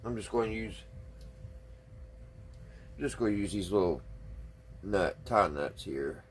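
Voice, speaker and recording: A man with a slightly husky voice, talking casually and folksily through hands-on repair work, thinking aloud without hurry. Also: lowish voice, animated. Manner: folksy, unhurried, thinking aloud while working. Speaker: hobbyist radio restorer and video host. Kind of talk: casual hands-on workbench narration.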